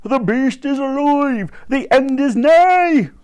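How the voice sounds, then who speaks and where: A narrator puts on a man crying doom in the street. He shouts slow, drawn-out proclamations at a high pitch, in storybook style. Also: animated. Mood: alarmed. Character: doom-crying male sandwich-board street prophet.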